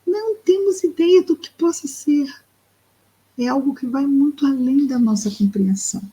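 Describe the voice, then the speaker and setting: A woman with a Brazilian Portuguese accent reads a book aloud and explains it, speaking thoughtfully and slowly, with pauses and fillers. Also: high-pitched, warm tone, poor audio. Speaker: study group presenter. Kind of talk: reading and explaining a spiritist book.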